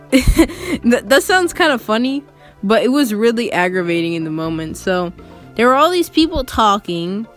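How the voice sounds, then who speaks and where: A woman's voice: a little high, very animated, moderate pace. A teenage girl telling a personal story in a casual, rambling, conversational way with lots of filler words. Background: background music.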